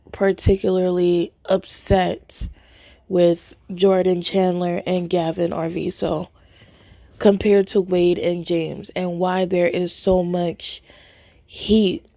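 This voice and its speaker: A woman hosting a podcast in casual commentary, hoarse from a cold and thinking aloud haltingly, close to a phone mic. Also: lowish voice, dark tone, no room sound. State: hoarse from a cold, voice nearly gone. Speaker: podcast host. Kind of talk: casual spoken commentary to listeners. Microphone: phone held close to mouth.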